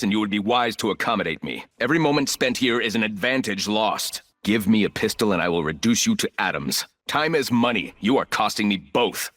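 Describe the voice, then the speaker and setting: An actor playing an arrogant, ruthless, calculating corporate villain in a video game, speaking in clipped, condescending lines. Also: male voice, on the low side, bright, forceful tone. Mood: impatient, disdainful.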